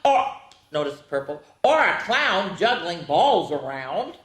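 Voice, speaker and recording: A woman with a slightly low voice reads to children in a roomy, echoing space. Her delivery is playful and theatrical, swinging widely in pitch. She stretches out story words and chats casually between them. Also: big pitch swings, measured pace. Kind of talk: animated picture-book read-aloud with casual asides. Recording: echoey, roomy sound.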